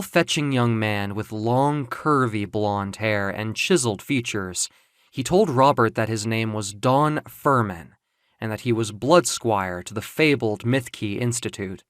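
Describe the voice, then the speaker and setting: A man narrating a dark fiction story in a dramatic, theatrical and deliberate way, with music behind him. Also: medium pitch, dry recording, studio quality. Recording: music under the voice.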